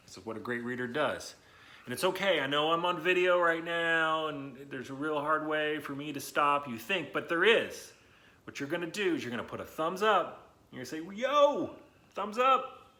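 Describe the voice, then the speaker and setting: A man hosting a read-aloud lesson for children, talking in an animated, chatty, encouraging way with emphatic words and questions to his listeners. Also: a little high. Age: adult, not young.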